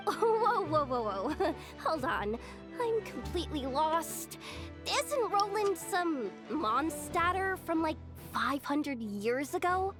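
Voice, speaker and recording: A woman voicing a tiny, childlike fairy companion in an anime-style game, squeaky, excitable and full of blurted questions. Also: takes it slowly, heavily acted. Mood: flustered. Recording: music behind.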